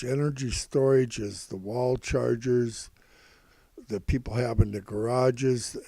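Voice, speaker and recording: Slow-talking older man, mellow and halting. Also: medium pitch, dry recording. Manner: halting, repetitive, folksy, refers to himself as Grandpa. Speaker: host of stock prediction videos. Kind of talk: informal rambling financial commentary.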